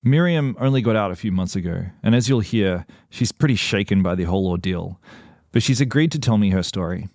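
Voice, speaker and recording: A man with a fairly low voice narrates and interviews in a measured, earnest way. The sound is dry and close, with no room around it. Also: lively intonation, a little mellow, no room sound. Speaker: podcast host.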